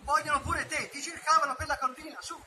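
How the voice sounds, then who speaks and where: A man voicing a calm, protective male panther in a read-aloud story, speaking in an earnest, soothing way. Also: very high-pitched, fast, full character voice. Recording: distant mic with noisy background.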